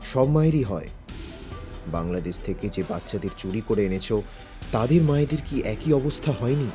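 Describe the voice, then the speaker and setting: A man playing a stern, principled detective in an audio drama, speaking calmly and deliberately. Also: fairly low pitch, close to an everyday voice. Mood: calm reproach. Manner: calm, firm, deliberate. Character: stern, principled veteran male detective, unafraid. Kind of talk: Bengali audio drama acting. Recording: music behind the voice.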